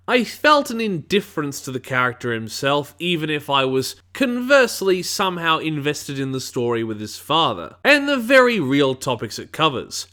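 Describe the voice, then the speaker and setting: A man's voice: fairly high pitch, bright, forceful tone. A man delivering a scripted review commentary in an opinionated, analytical manner.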